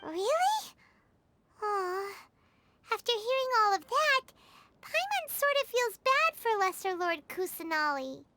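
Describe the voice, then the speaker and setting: A woman voicing a tiny, childlike fairy companion in a video game, squeaky and exclamatory. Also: sing-song, slow pace, noisy background, full character voice. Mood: let down. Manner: exclamatory, refers to self in third person.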